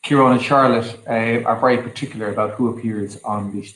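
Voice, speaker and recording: Irish man for an informal diplomatic address, mid-pitched and level, echoey room. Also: fairly even intonation, fairly quick, fairly bright tone, slight background noise. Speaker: diplomat, guest speaker. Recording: echoey room, live video stream.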